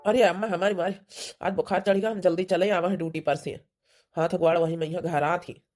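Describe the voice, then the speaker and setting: A woman's voice: fairly low pitch, fairly even intonation, fairly quick, darkish tone, lightly acted. A woman voicing a sick, grumbling, bossy village husband in an Awadhi-accented comedy cartoon. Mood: weary, bossy. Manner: complaining, bossy.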